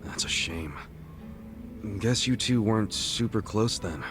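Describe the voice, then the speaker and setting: A man's voice: on the low side, animated, a little slow, over music. A man voicing a blunt, curious, easygoing friend in a video game cutscene, talking in a casual, slangy way. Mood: sympathetic.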